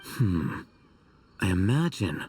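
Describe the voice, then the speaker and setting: A man voicing an aloof, dry-witted scholar in a video game, speaking in a deadpan, measured, formal way. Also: low voice, recorded from a distance, slight background noise, full character voice. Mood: wry, unbothered. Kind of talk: scripted video game voice acting.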